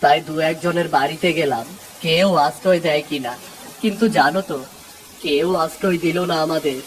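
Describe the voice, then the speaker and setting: A man's voice: fairly high pitch, moderate pace, slight background noise, close to an everyday voice. A man voicing a poor, humble village father in a Bengali moral cartoon, pleading and deferential. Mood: plaintive.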